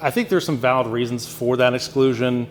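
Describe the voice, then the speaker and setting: Male conference speaker with a stuffed-up nose, casual and halting, lots of ums; long hall reverb and faint room hum. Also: medium pitch. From Virginia, Shenandoah Valley. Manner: conversational, hesitant with many fillers and restarts. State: stuffy nose from sinus infection. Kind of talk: lecture presentation.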